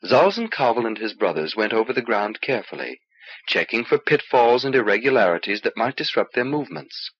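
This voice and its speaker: A man with a husky voice narrating a fantasy novel in an expressive, measured storytelling delivery, on an old cassette transfer. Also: mid-range voice, muffled recording. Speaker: audiobook reader.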